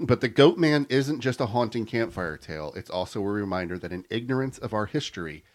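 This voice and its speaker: A man's voice: lowish voice, animated, a little fast. A man hosting a podcast, reading a scripted story and then chatting and teasing his co-hosts. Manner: laughs easily, teasing. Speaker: podcast host.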